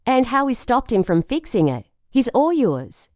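Woman acting brisk survivor soldier giving a report, very lively pitch, dry close sound. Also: no room sound. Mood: matter-of-fact report. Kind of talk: amateur audio drama dialogue.

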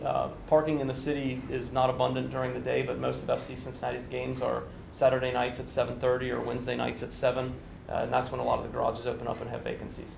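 Gravelly man at a press briefing. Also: medium pitch, monotone, fast talker, noisy background. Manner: explanatory, businesslike, with many fillers. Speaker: construction official. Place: indoor conference room. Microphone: standing microphone, TV camera. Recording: echoey room.

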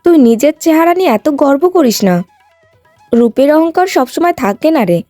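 A modest young village woman, voiced by an actress in a cartoon fairy tale. She sounds sensible and high-pitched, with music under the dry voice. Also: lively intonation, a little fast, warm tone, dry recording. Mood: admonishing. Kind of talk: cartoon moral-tale voice acting.

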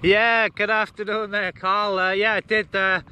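A man's voice: sits high, level tone, measured pace. A man chatting casually to camera and over CB radio as a hobbyist filming a vlog. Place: outdoors on open windy moorland, sometimes in a parked car. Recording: noisy outdoor recording, wind and hiss.

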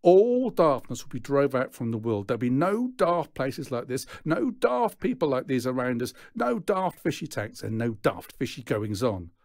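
Man playing a storybook gang boss, pompous, suspicious, blustering, animated pitch swings, close dry sound. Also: normal speed, few pauses, no room sound. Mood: declaiming. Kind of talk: children's storybook character voice.

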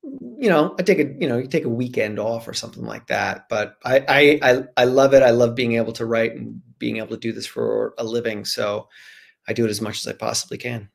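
A man in his forties, an author guest, casually answering questions over a video call from a room at home in an animated, jokey way. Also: mid-range voice, brisk, creaky.

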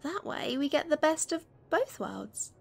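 A woman playing a playful, affectionate young best friend in a gentle, teasing ASMR roleplay. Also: sits high, big pitch swings, a little slow, vocal fry, exaggerated voice. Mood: warm, enthusiastic. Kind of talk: gentle ASMR roleplay, one-sided conversation.

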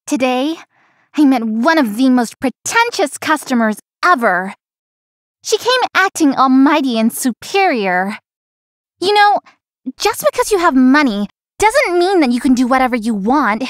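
A woman's voice: high-pitched, sing-song, unhurried, no echo, studio quality. A woman voicing a young, friendly but world-weary florist in an anime-style romance game. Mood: bitter, complaining. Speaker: voice actor in a visual novel. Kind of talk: scripted anime-style game dialogue and inner monologue.

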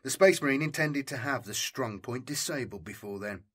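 A man's voice: mid-range voice, animated, moderate pace, slightly rough. A man reading military science fiction as an audiobook narrator, in a scripted, dramatic storytelling style.

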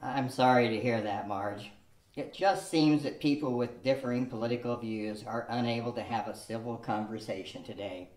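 An older man gives us Elmer, a rueful, earnest old husband in a homespun radio drama. His voice sits at an ordinary pitch and reads plainly and wistfully. The room adds a clear echo. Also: normal speed, darkish tone, close to an everyday voice. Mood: somber. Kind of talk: amateur radio-play acting from a script. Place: small studio with a draped backdrop. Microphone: stand mic at chest height. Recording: echoey room.

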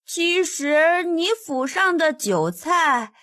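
A man voicing a precocious, nonchalant eight-year-old boy emperor in an audiobook, with a tender childish voice, speaking slowly and deliberately. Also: animated, bright, forceful tone, dry recording, studio quality, exaggerated voice. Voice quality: childish, tender high-pitched voice. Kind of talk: audiobook character voice.

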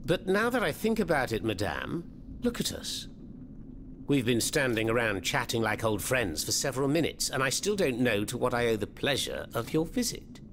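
Game role: theatrical underground French rebel, French accent, very lively intonation, clearly noisy background. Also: male voice, mid-range voice, normal speed. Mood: wry. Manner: theatrical, florid, eloquent. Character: theatrical, fervent male French revolutionary hiding underground. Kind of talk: video game cutscene acting.

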